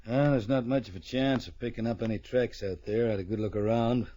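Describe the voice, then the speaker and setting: An actor playing a laconic, tough frontier marshal in an old radio western, speaking in clipped, terse phrases. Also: male voice, medium pitch, fairly quick, warm tone, highs cut off, natural voice. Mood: terse, businesslike.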